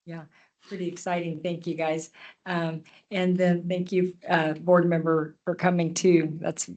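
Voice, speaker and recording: Middle-aged woman commissioner, kinda low voice, reads the proclamation then gets chatty. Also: flat delivery, unhurried, a little mellow, lo-fi sound. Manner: friendly, informal asides with laughs. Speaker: county commissioner. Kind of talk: reading a proclamation and chatting at a public meeting.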